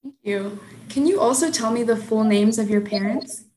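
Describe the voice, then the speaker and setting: A woman's voice: on the high side, moderate pace, dark tone. A young woman interviewing someone for an oral history, asking prepared questions politely and carefully.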